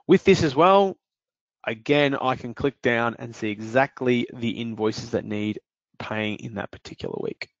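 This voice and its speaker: A man walking through a software demonstration in a webinar, explaining steps with frequent fillers. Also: medium pitch, measured pace, dry recording, muffled recording. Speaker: software demo presenter.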